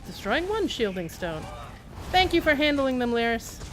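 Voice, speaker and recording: A woman's voice: high voice, big pitch swings, normal speed, fairly bright tone, slight background noise, low-quality recording. A woman giving casual live game commentary, rambling and playfully self-deprecating as she chats to viewers and game characters. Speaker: game livestreamer.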